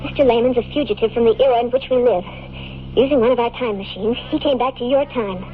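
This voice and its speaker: A woman acting an earnest, high-voiced radio-drama heroine. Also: quick pace, close to an everyday voice. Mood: confessing. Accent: American. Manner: intense, pleading. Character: earnest, urgent young woman, secret time traveler in love. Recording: tinny, hissy old radio broadcast recording.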